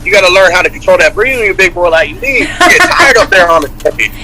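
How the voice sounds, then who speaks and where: A man's voice: high-pitched, expressive, fast talker, sharp tone, slight background noise, rough recording. A man guesting on an interview, playful and goofy as he jokes with the group. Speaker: rapper guest. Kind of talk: casual interview banter.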